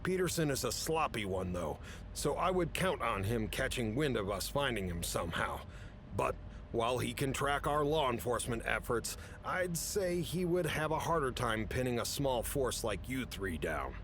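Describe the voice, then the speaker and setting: Man playing a stern agency chief, rumbly noise. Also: animated, fairly bright tone. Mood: businesslike. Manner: authoritative, measured, matter-of-fact. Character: gruff, businesslike male chief briefing agents on a mission. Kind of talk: audio drama acting.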